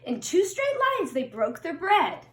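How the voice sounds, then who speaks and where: A middle-aged woman reading a picture book aloud to young children in an expressive singsong way, recorded in a room at home. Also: sits high. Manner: slow, deliberate, singsong storytelling addressed to children. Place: indoors upstairs at home. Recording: roomy home recording with echo.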